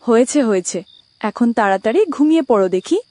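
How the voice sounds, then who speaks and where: A woman plays a reassuring mother in a cartoon tale. The voice is fairly high and affectionate, soothing a child, recorded dry with little room sound. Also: animated, quick pace, warm tone, no room sound, close to an everyday voice. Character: caring, reassuring mother of a young girl. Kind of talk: Bengali cartoon story acting.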